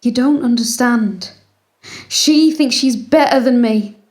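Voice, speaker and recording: A high-voiced, anxious mother. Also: slow, a little mellow. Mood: hurt, defensive. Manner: hesitant, needy. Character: anxious, clinging, trapped mother pleading with her child. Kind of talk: audio drama acting.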